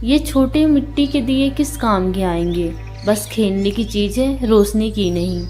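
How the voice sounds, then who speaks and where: A woman's voice: medium pitch, animated, a little slow, dark tone, faint music behind, lightly acted. A woman voicing a kindly but dismissive motherly older customer in a cartoon story. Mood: gently dismissive.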